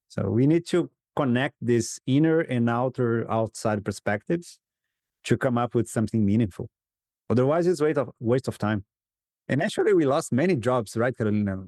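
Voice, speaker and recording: A man's voice: medium pitch, a little mellow, dry recording, clean studio sound. A man, a guest facilitator in a conversational interview, earnestly telling stories and acting out his clients' replies, laughing often.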